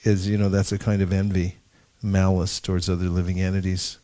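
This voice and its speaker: A man's voice: low-pitched, little pitch movement, fairly quick, mellow. A man leading a devotional class discussion, telling anecdotes with wry humor. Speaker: discussion leader. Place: gathering in India.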